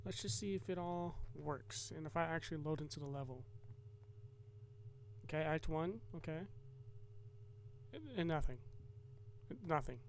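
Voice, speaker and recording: A man's voice: fairly high pitch, measured pace, gravelly, pauses often, slight background noise, poor audio. A man narrating a casual game devlog as its developer and host, explaining in a hesitant, rambling way.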